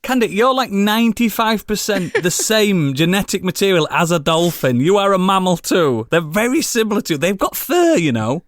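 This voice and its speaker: A man with a high-pitched voice and very lively intonation hosts a casual chat show. He swears freely and teases his friends in an incredulous, bantering manner. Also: normal speed, few pauses, dry recording, studio quality. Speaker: podcast host.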